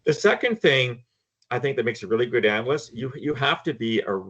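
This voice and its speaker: A man's voice: mid-range voice, animated, brisk, fairly bright tone, breathy edge, lo-fi sound. An older man, speaking frankly and emphatically as a guest in a casual panel discussion. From Canada. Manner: emphatic, frank, repeats words when starting. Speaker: guest, former intelligence analyst.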